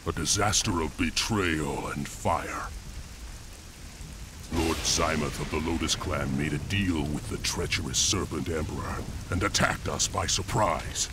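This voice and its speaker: A man playing a brooding, resolute warrior heir of an enslaved clan in a video game, speaking gravely and deliberately. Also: extremely low pitch, background noise. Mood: grim, vengeful. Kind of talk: dramatic video game cutscene acting.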